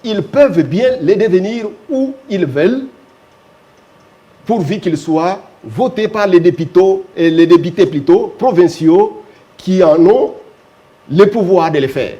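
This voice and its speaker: A man speaks in a fairly high but mellow, warm voice. He answers as a guest in a televised interview. He is measured and insistent, and he hammers his points home by repeating them. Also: lively intonation, highs cut off. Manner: measured, insistent, repeats key phrases for emphasis. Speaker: local notable, guest. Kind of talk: TV talk show interview.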